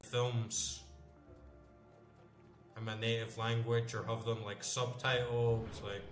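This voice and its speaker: A man in his late thirties talks loosely while he games, his speech full of fillers and the odd swear word. His voice sits at an ordinary middle pitch. The room sounds open and echoey, and clear music plays behind him. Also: flat delivery, slow. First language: English. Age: late 30s. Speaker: gamer playing on stream. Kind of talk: casual chat while gaming.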